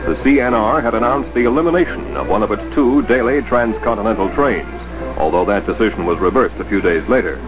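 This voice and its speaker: A man reading scripted 1950s documentary narration in a measured, formal, dramatic way. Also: fairly low pitch, over music. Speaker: newsreel narrator. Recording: noisy old 1950s film soundtrack.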